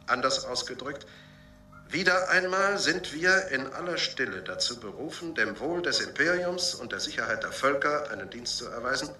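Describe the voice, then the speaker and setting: An actor playing a smooth, evasive senator chairing a secret commission in measured, pompous officialese in a radio drama. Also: male voice, medium pitch, pushed and bright. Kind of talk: German science fiction radio drama acting. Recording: roomy, distant binaural recording over music.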